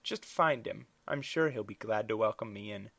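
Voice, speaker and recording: A woman's voice: very deep voice, moderate pace, creaky, no echo, exaggerated voice. A storyteller voicing a haughty, venomous young noblewoman who mocks her rival. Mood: sneering. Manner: sneering, mocking.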